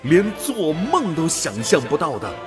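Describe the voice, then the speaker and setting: A man's voice: fairly high pitch, wildly swooping pitch, a little slow, music in the background. A man playing a dutiful, awestruck space explorer in a radio drama, with a reflective, emotive delivery. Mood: awed. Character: dutiful male human explorer, transformed, torn and awestruck. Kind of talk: dramatic radio play acting.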